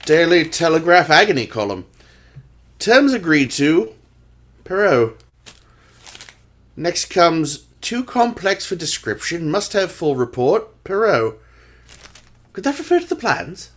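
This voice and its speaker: A man acting an earnest Victorian gentleman, a loyal sidekick who narrates an audio drama in formal style. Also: a little high, big pitch swings, takes it slowly, pauses often, full character voice. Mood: reading aloud, intent.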